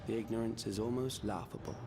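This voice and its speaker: A man playing a haughty, menacing villain mastermind in a video game, speaking in a pompous, formal, deliberate way with cold gloating. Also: on the low side, fairly even intonation, close to an everyday voice. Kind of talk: scripted video game cutscene acting. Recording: loud game music behind voice.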